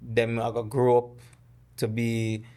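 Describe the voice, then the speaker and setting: A middle-aged man from Jamaica with a Jamaican accent, a podcast guest who recounts personal loss in a halting, word-searching way. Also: medium pitch, monotone, a little mellow. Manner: halting, searching for words, with many restarts. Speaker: guest, dancehall artist. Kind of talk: conversational interview, storytelling.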